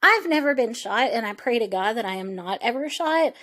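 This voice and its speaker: A woman's voice: a little high, lively intonation, a little fast, fairly bright tone. A woman hosting a true crime podcast, giving casual commentary in a conversational, opinionated and emphatic way.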